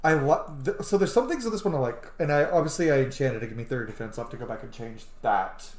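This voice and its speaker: A man's voice: medium pitch, fast. A man giving casual, blunt, sweary commentary as he plays a video game. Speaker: gamer, video host. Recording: roomy sound with game music behind. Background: video game music.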